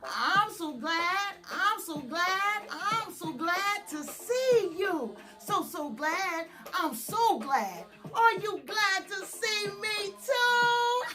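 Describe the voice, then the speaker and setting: A woman's voice: very high voice, big pitch swings, extremely slow, few pauses, poor audio. A woman hosting a children's storytime in a theatrical, emphatic, singsong read-aloud style, drawing out and repeating phrases.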